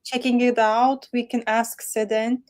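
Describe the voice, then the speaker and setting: A woman's voice: fairly high pitch, level tone, a little slow, a little mellow. A woman moderating an online workshop, asking long, winding questions over a video call.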